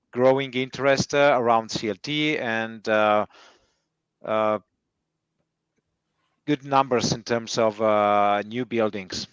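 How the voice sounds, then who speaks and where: A man with an Italian accent, answering interview questions slowly with many hesitant fillers. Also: medium pitch, clear, resonant tone, no room sound, highs cut off. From Italy. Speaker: guest timber engineer.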